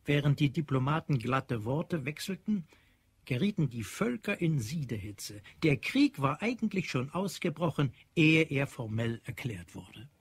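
A man's voice: a little high, big pitch swings, a little slow, breathy edge, no echo. A man narrating a radio drama in a dramatic, literary, scripted style.

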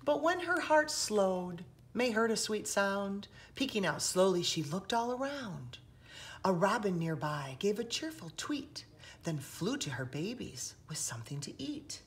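A woman reading a picture book aloud expressively, with dramatic hushed passages and emphatic rises, recorded indoors by a camera. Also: medium pitch, sing-song, measured pace, a little hiss or hum. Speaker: children's author reading aloud. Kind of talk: expressive storybook read-aloud. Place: indoors, seated on a sofa in a room.

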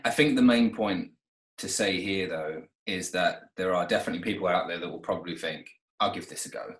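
A man in his thirties with a lowish voice chats over a video call from an echoey room at home. He rambles easily, full of fillers and restarts, as he tells his own story. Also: fairly quick, a bit bright. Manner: chatty, with many fillers and restarts. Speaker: renovation company owner, guest. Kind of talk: casual interview.

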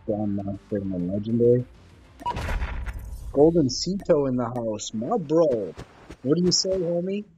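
A man from Canada, a gaming YouTuber, chatting casually and upbeat with an online opponent, full of slang and repeating himself slowly. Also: mid-range voice, dark tone, highs cut off.

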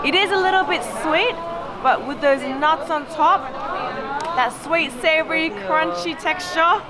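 A woman's voice: very high-pitched, a little slow. A woman in her thirties from Australia, describing street food in a casual vlog at a night market. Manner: describes food in detail, savoring bites. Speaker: food vlogger host. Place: busy night market, outdoors. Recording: loud crowd and music behind. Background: loud crowd and music.

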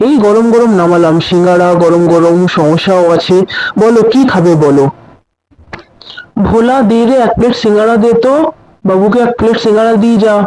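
A man's voice: high-pitched, a little fast, darkish tone, no echo, lightly acted, poor audio. A man voicing an eager old village tea-stall seller in a cartoon, coaxing and repeating himself as he hawks snacks. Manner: hawking, repetitive, coaxing.

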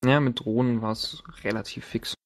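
Male game master, average pitch, informal slangy table talk. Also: fairly even intonation, moderate pace.

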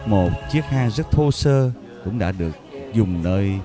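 A man narrating a pilgrimage video in a solemn, measured, reverent voice-over. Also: on the low side, expressive, music in the background. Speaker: documentary narrator.

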